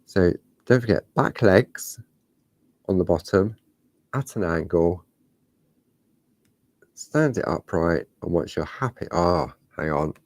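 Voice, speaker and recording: Deep chatty craft host. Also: male voice, animated, slow, long pauses, darkish tone, no echo. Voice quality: slightly croaky. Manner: chatty, talks to himself while working. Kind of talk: step-by-step craft demonstration.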